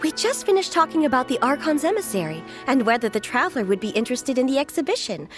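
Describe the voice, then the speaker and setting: A polite, chatty young lady from a video game. Her voice is high and lively in pitch, with music clearly audible behind it. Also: quick pace. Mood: pleasantly surprised.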